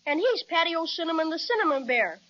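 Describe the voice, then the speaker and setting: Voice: extremely high pitch, sing-song, fast talker, fairly bright tone, heavily acted. An actor playing an eager, plucky young boy with a gee-whiz, earnest manner in an old children's radio serial. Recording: dull, band-limited vintage radio recording.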